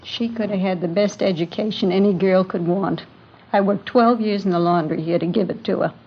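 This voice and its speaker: A woman's voice: mid-range voice, steady tone, brisk, breathy edge. An actress playing a middle-aged American mother, bitter, ashamed and resigned, in a 1950s radio drama. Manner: complaining, resigned, wistful. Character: weary, bitter, ashamed widowed mother of a wayward daughter. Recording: muffled, hissy vintage radio broadcast.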